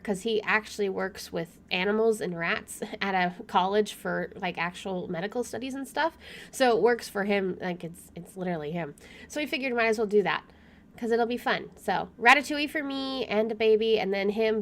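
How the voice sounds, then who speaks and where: A young woman streaming a game, chatting casually and reading story text aloud in an expressive way. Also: mid-range voice, moderate pace.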